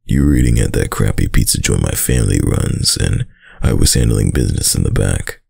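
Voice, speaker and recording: A man playing a dominant, doting young mafia heir in an intimate boyfriend roleplay, with a very deep, gravelly voice and a slow, tough-guy delivery. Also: a little mellow, no room sound, clean studio sound, full character voice. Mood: fond reminiscing. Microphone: close, dry microphone.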